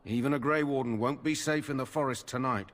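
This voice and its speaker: A man's voice: medium pitch, a little fast, big-room sound, close to an everyday voice. A man voicing a stern male soldier guarding a gate in a fantasy game, speaking formally with a warning tone.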